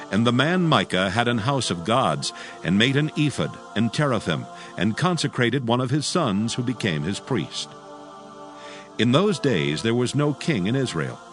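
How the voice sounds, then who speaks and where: Male narrator for dramatized scripture: solemn, measured storytelling in a lower register over clear music.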